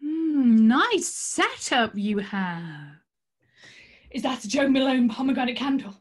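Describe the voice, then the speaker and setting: Woman doing an eccentric lady psychic, grand and stagey, slightly breathy rasp, big swoopy delivery. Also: sits high, big pitch swings, slow pace, heavily acted. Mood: theatrical, airy. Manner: theatrical, mystical pronouncements, pompous. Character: eccentric, theatrical woman tarot reader, grandiose mystical charlatan. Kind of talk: staged comic scene acting.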